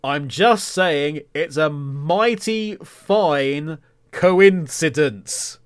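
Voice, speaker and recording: Slow-talking man, fairly high voice, podcast host. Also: animated, clear, resonant tone. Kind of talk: casual solo podcast talk.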